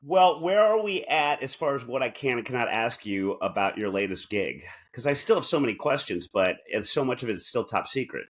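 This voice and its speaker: A man co-hosting a podcast in casual banter, wry and teasing, often halting and restarting his sentences. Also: mid-range voice, big pitch swings. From born in New Jersey, US. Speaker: podcast co-host.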